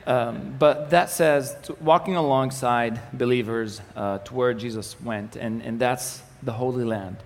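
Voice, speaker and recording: Young Arabic-speaking man from the Galilee giving a casual church talk, gravelly, with a long hall echo. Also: mid-range voice, a little slow, hardly any pauses. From Israel, Galilee. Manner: relaxed, joking, many fillers and restarts. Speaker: ministry communications manager giving a presentation. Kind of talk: church presentation with slides. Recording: echoey hall with faint noise.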